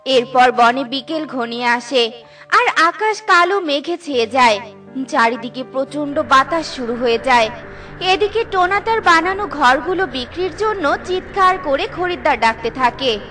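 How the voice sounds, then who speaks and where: A woman's voice: sits high, lively intonation, measured pace, pushed and bright, faint music behind, full character voice. A woman reading scripted storybook narration for a children's cartoon.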